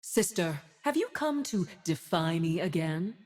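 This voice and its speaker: A woman's voice: lowish voice, big pitch swings, unhurried, a little mellow. A woman playing a proud, righteous winged warrior and judge in a video game, with a solemn, commanding, haughty delivery. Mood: stern judgment. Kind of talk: dramatic video game voice acting.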